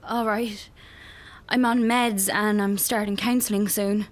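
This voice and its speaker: An actress with an Irish accent playing an anxious, fragile young woman in a radio drama, halting and unsure as she speaks. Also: medium pitch, steady tone, natural voice. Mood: fragile, nervous. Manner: hesitant, halting. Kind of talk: naturalistic radio drama acting.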